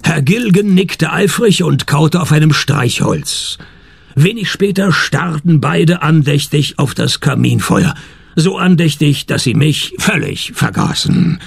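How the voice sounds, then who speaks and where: A wry private detective narrating a radio drama. His creaky voice is fairly high and varies a lot in pitch, delivering sardonic wit. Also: exaggerated voice. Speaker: lead character and narrator. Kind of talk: German-language radio play narration and dialogue.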